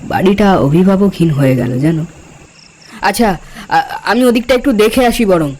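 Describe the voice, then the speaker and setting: A man playing a kindly, weary uncle in a cartoon drama, speaking in a gentle, placating way. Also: sits high, moderate pace. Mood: wistful.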